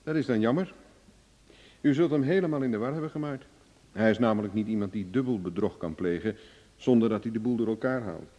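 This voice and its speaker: Cocky, mellow-voiced male investigator, played by an actor. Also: lowish voice, a little fast, dull audio, close to an everyday voice. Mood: confident. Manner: glib, ironic, self-assured. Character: cocky, cool-headed male private investigator, wry and bargaining. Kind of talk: Dutch radio drama acting. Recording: reverberant old radio play recording.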